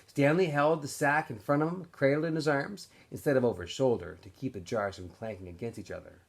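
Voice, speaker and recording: A man narrating a novel aloud in an expressive storytelling style, as if for students. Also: mid-range voice, fairly quick, gravelly. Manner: animated storyteller. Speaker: teacher or school staff reading aloud to students.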